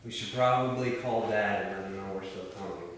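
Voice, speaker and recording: A man's voice: fairly low pitch, a little fast, close to an everyday voice. A man reading the part of an exasperated older brother in his thirties in a film script, sarcastic and reproachful. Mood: concerned. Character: Ray, exasperated thirty-two-year-old older brother driving. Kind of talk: table read of a film script. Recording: echoey room from far off, faint noise.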